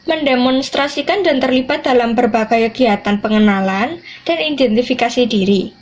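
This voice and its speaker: High-pitched teenage girl presenting a podcast. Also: normal speed. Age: teens, high school student. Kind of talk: scripted educational narration.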